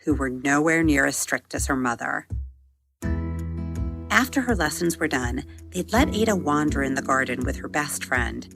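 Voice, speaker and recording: Low-voiced woman narrating a children's story, slightly creaky. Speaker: podcast host and story narrator.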